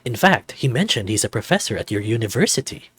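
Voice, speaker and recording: A man's voice: on the low side, very animated, fast, fairly bright tone, breathy edge, no echo, exaggerated voice. A man voicing a flamboyant, theatrical snow leopard who runs a resort in a visual novel, speaking in a campy, dramatic manner. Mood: breezy, gossipy. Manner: dramatic, lavish wording, campy.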